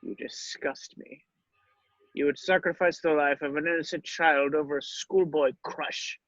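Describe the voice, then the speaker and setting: A man playing a grave, shrewd old wizard headmaster in a screenplay table read, speaking in a measured, deliberate way. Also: fairly high pitch, fairly even intonation, fairly bright tone, dry recording, muffled recording. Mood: cold contempt.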